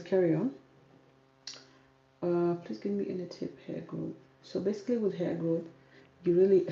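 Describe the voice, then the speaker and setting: A young woman hosting a livestream reads out viewer comments and answers them, firm and repeating her points for emphasis. Her voice is dark and gravelly. The recording sounds roomy and dull, with faint noise behind her. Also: on the low side. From South Sudan, raised in Kenya. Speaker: YouTube hair channel host.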